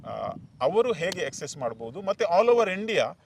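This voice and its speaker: A man's voice: high voice, very animated, measured pace, slight background noise. A man hosting an interview with a coastal Karnataka accent, putting questions and summing up points for viewers. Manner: repeats and sums up answers. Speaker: talk show host.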